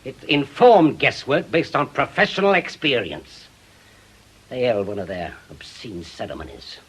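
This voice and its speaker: An actor playing a bitter, vengeful widowed ex-policeman in a British radio drama, forceful and sardonic. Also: medium pitch, lively intonation, unhurried, husky, poor audio. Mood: insistent, angry. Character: bitter, obsessive widowed ex-policeman bent on revenge.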